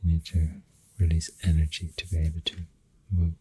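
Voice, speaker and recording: An ASMR creator with a Swedish accent, speaking very softly and often near a whisper, thinking aloud hesitantly into a close microphone. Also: extremely low pitch, monotone, fairly quick, a little hiss or hum, rough recording. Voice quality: very soft, breathy, often dropping to a whisper. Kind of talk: soft-spoken ASMR, rambling science facts.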